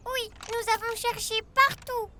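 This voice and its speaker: Young cartoon truck: very high, childlike, upbeat, bold, unhurried delivery. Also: female voice, fairly even intonation, very noisy. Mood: matter-of-fact. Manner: childlike, enthusiastic. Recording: loud music behind the voice.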